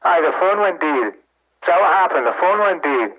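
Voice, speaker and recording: A man's voice: high-pitched, expressive, normal speed, narrow, tinny audio. A man playing a grumpy, easily offended Scotsman on the telephone, scolding and scornful. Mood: irritated. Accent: Scottish. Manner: indignant, scornful.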